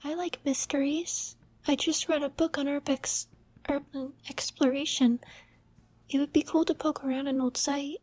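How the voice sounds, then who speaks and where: A shy, bookish teenage girl played by a woman, timid and quiet. Her voice is high and mellow, with a soft audio drama delivery. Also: steady tone, normal speed, close to an everyday voice. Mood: shyly curious. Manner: soft, timid, barely audible.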